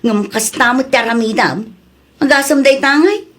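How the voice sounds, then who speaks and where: A woman's voice: sits high, moderate pace. A woman playing a suspicious, insistent customer with a pointed, scolding manner in a comedy drama. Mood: accusing, scolding. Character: suspicious, insistent female customer exposing a cheat.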